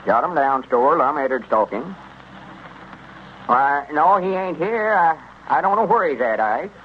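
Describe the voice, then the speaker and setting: An actor playing an exasperated, folksy country storekeeper with a rural Southern accent in old-time radio comedy. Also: male voice, a little high, lively intonation, unhurried. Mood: defensive. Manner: folksy, grumbling. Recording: muffled, noisy 1930s-40s radio recording.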